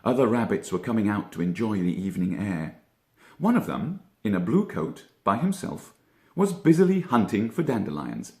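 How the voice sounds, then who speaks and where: A man narrates a children's storybook with a very lively, varied delivery. He uses deliberate dramatic pauses, and the room adds a noticeable echo. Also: lowish voice. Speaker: actor reading a children's story. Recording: echoey room.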